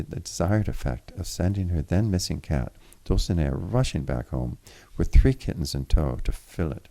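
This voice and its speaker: Very deep, gravelly male story reader. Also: dark tone. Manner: formal, deliberate reading with occasional stumbles and retakes. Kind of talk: literary audiobook narration.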